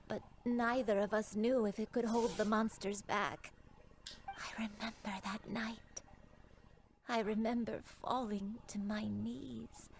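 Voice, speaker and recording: Video game role: gentle, frail grandmother, slightly high voice, very slow, halting and breathy. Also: slight background noise. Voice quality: breathy, often sinking to a whisper. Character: frail, gentle old grandmother with failing memory. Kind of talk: dramatic video game voice acting. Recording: music under the voice.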